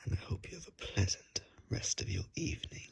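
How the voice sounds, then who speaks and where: A man playing a polite, formal gentleman vampire in a soft, courteous whisper for an ASMR roleplay, recorded on a phone's built-in microphone. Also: lightly acted. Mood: courteous, eerie. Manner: courteous, formal, drawn-out phrasing. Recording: unplugged iPhone microphone.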